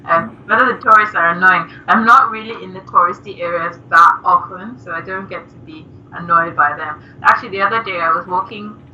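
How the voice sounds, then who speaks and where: Bright-voiced young woman on a lo-fi call. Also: fairly low pitch, a little fast, few pauses. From Nigeria. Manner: soft-spoken, giggly, with many fillers. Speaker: musician guest. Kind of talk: casual interview chat. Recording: distant, dull lo-fi remote call with faint noise.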